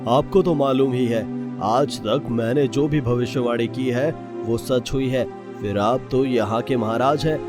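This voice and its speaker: A man's voice: mid-range voice, steady tone, measured pace, close to an everyday voice. Man playing a grave, solemn royal sage. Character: solemn sage prophet delivering fate to royals. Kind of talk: Hindi moral-story cartoon dubbing. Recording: voice over prominent background music.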